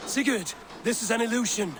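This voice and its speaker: An actor playing a resolute, loyal Viking warrior in a video game, speaking in a solemn, formal way. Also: male voice, very high-pitched, a little fast, background noise. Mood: urgent, pleading. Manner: solemn, earnest, formal phrasing. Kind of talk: dramatic video game acting. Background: game music.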